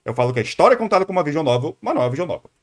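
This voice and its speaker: A man's voice: on the high side, very animated, racing. A man with a Brazilian accent giving casual, slangy, argumentative reaction commentary as a streamer.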